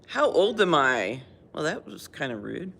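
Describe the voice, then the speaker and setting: An older woman hosting a casual livestream chat in a library, chatty and self-mocking, quick to laugh at herself. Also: low voice, big pitch swings, vocal fry, fairly bright tone, breathy edge. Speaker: livestream host. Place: public library.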